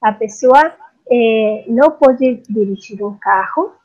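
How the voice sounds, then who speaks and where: A woman's voice: a little high, slow, a little mellow, lo-fi sound. A woman from Argentina with an Argentine Spanish accent, a psychologist presenting on a video call in Portuguese, her second language, searching for words and often checking in with "sim?". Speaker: psychologist speaker. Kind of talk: webinar presentation.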